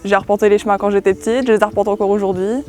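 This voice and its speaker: A young woman who studies theatre, with a mid-pitched voice. She speaks eagerly but stops for fillers. Faint music plays underneath. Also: flat delivery, racing, darkish tone. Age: young adult. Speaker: theatre student. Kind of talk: documentary interview.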